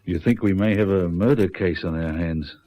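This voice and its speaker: An actor as a low-voiced police sergeant. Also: male voice, natural voice. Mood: musing, brisk. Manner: deliberate, probing, blunt. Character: firm, no-nonsense male country police sergeant. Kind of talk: radio drama acting. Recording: dull lo-fi radio recording.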